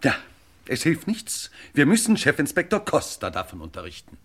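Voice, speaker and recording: An actor playing a dignified, older English lord and uncle in a German radio crime play, formal and easily indignant. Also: male voice, a little high, wildly swooping pitch, normal speed. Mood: puzzled, grave. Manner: formal, genteel, protesting.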